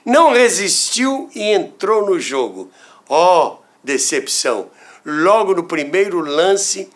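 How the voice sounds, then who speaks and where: An older man with a Brazilian accent tells anecdotes in a theatrical, deliberate way, with dramatic pauses and asides to the listener. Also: high-pitched, fairly bright tone. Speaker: storyteller, former radio worker. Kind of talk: animated anecdotal storytelling podcast narration.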